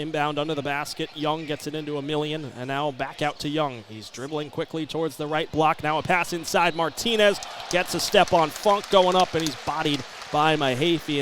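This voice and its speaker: High-voiced American man calling basketball play-by-play. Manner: brisk, descriptive, rising to excited calls. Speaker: play-by-play sports announcer. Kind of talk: live basketball play-by-play commentary. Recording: live arena broadcast, background ambient noise. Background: basketball game ambience.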